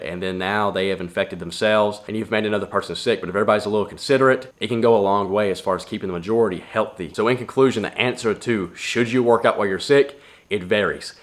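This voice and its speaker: A young man giving casual fitness advice to camera in a plainspoken, earnest way from his home gym. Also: fairly low pitch. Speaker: fitness vlogger.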